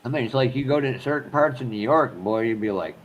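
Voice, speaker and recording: A man from New Hampshire trading stories in a casual group chat, talking quickly and playfully. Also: mid-range voice, highs cut off, a little hiss or hum. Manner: animated storytelling, quick and playful.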